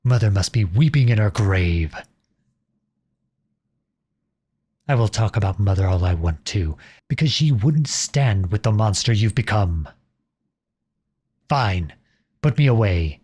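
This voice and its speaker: Husky, low-voiced outlawed prince played by a man. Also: animated, normal speed, a little mellow. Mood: anguished, defiant. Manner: earnest, pleading, dramatic delivery. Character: outlawed young prince turned soldier, impassioned, lovestruck, defiant. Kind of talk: scripted audio roleplay acting.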